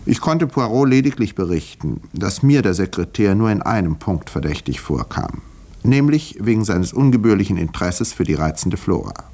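Doctor-narrator for 1950s radio mystery: lowish, very animated intonation, dry, observant, ironic companion to a detective. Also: male voice. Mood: wry, measured. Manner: dry, ironic, measured storytelling. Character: male English country doctor, wry, observant detective's companion. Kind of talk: 1950s German radio play acting and narration.

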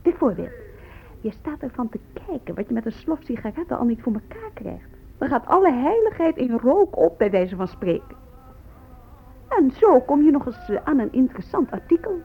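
An actress playing an enthusiastic, flippant woman who collects texts, talking excitedly in a radio drama. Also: high-pitched, moderate pace, vocal fry, breathy edge, exaggerated voice. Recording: tinny, phone-like old radio recording.

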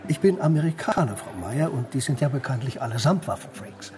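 A man's voice: mid-range voice, expressive, a little fast, darkish tone, close to an everyday voice. Actor as a dryly ironic male professor. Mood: dry, amused. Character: urbane, dryly witty American professor, male. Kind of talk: radio crime drama acting. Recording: faint music behind.

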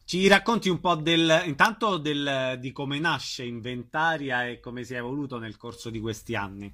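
A man's voice: medium pitch, moderate pace, pushed and bright. A man hosting a radio interview, putting conversational questions to a guest. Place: radio broadcast.

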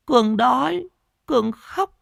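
Man putting on a very high, pleading beggar girl. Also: lively intonation, vocal fry, fairly bright tone, no room sound, clean studio sound, full character voice. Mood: meek pleading. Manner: halting, childlike, very few words. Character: starving, simple-minded mad beggar girl, skinny and pleading.

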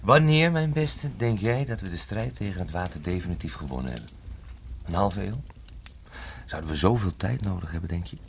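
Man doing a serious government guy, deep, slow and earnest, faint hiss. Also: animated, vocal fry. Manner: measured, earnest.

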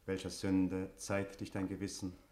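A man playing a solemn, stern yet consoling priest in a classical verse radio tragedy, speaking in measured, ceremonial declamation. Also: deep voice, completely flat delivery, dark tone. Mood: ceremonial questioning.

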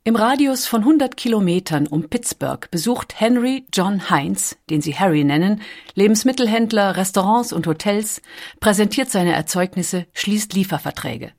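A woman reading scripted documentary audiobook narration in a measured, articulate storytelling manner. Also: medium pitch, expressive, darkish tone.

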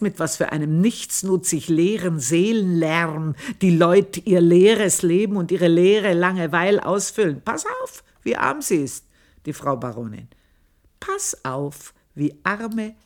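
An actress in a radio play, playing a passionate, bitter, cunning young woman who confesses deliberately and intensely, at times hushed. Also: on the low side, lively intonation. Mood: bitter, insistent. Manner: deliberate, intense, dramatic phrasing with hushed, near-whispered passages. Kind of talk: literary radio play monologue.

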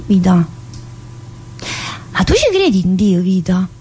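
A chatty inmate. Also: female voice, medium pitch, sing-song, takes it slowly, mellow, slight background noise. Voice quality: chatty and meandering, studded with "eh" and direct address. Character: chatty middle-aged female prison inmate, warm-hearted. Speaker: stage actor in a solo play. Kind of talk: theatrical monologue.

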